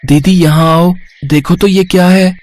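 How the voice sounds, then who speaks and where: A man's voice: sits high, steady tone, measured pace, darkish tone, dry recording. A man voicing a young, food-loving little brother in a moral story, eager and wishful. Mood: excited. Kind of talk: moral story character acting.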